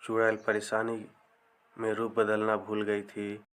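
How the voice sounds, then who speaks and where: Male narrator for spooky-funny folktales: smooth, low voice, very slow measured phrasing. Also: completely flat delivery, a bit bright, rough recording. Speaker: storyteller, narrator. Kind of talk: scripted narration of a comic horror folktale.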